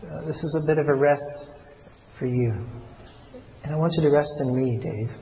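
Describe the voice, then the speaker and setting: A man delivers a reflective, personal sermon in a slightly high voice, often pausing to rephrase. The recording is dull and reverberant, as if made in a hall, with faint noise behind him. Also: creaky. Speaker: preacher.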